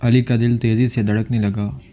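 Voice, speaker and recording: Male storyteller for scripted suspense fiction. Mellow, warm-dark timbre, mid pitch. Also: little pitch movement, normal speed. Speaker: storyteller, narrator.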